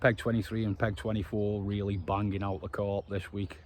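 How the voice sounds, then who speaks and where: A man's voice: on the low side, little pitch movement, moderate pace, a little mellow, a little hiss or hum. A British man presenting an angling video outdoors with casual, matey, informal commentary. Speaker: angler presenting a fishing video. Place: outdoors by a fishing lake.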